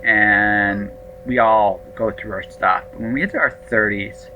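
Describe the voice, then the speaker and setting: A man in his late thirties hosting an interview podcast, chatting casually in an animated, excitable way and stumbling over his words. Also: fairly low pitch, measured pace, highs cut off, a little hiss or hum. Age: 30s. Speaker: podcast host.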